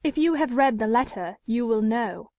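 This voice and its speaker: Proud, indignant storybook lady, played by a woman narrator: very high pitch, formal, emphatic, close dry sound. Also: expressive, moderate pace, darkish tone, no echo. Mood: guarded, defiant. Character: proud, defiant woman with an unhappy secret past. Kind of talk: dramatic audiobook character reading.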